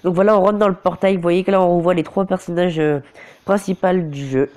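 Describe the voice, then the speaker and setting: A man's voice: high-pitched, fairly even intonation, fairly quick, a little mellow. A man with a cold and a sore throat, giving casual gameplay commentary on a phone recording, often stumbling and correcting himself. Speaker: mobile game commentator. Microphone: phone screen recorder.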